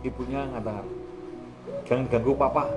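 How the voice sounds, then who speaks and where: A man's voice: mid-range voice, steady tone, normal speed, music in the background. A man with a Javanese accent hosting a casual, rambling art talk, chatty and colloquial, often tagging his remarks with small questions. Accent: Javanese-accented Indonesian. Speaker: host of an art talk show.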